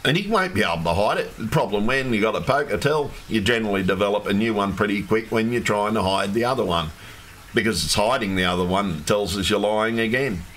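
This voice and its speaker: A man, hoarse and losing his voice, ranting in an agitated, repetitive livestream monologue with some swearing. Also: lowish voice, a little fast, fairly bright tone. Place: home room with fish tank. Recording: faint background hum from fish tank.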